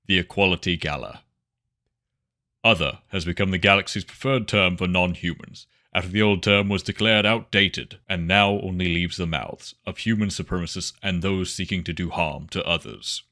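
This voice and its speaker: Very deep, bright-toned male narrator. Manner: measured, dramatic. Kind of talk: scripted dramatic narration.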